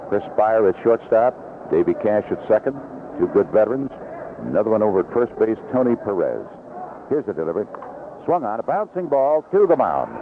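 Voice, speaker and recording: A North American man calling baseball play-by-play as a live radio announcer. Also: lowish voice. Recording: tinny, noisy vintage AM radio broadcast.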